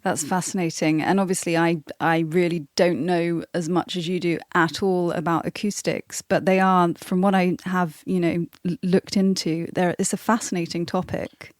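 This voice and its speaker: A woman's voice: lowish voice, flat delivery, moderate pace, few pauses, darkish tone. A woman hosting an interview podcast, encouraging in manner. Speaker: podcast host. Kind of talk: interview with scripted introduction.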